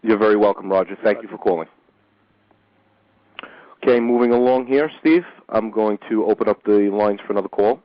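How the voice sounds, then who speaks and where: A man hosting a call-in radio show, speaking in a measured way and often repeating a word as he starts a phrase. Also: lowish voice, no echo. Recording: dull dry lo-fi radio recording.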